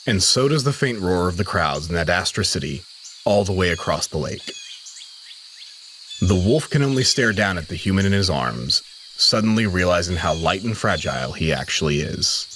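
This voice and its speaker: A man reading scripted dramatic fiction as narrator, in a solemn and measured manner. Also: sits low, animated, a bit bright, a little hiss or hum.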